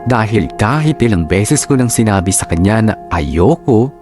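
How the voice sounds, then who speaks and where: A man with a Filipino accent voicing an anxious, distrustful letter-writer in measured, reflective dramatic narration. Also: lowish voice, expressive, a little mellow, lightly acted. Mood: troubled, confiding. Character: anxious, distrustful young woman confiding her doubts. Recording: music bed under narration.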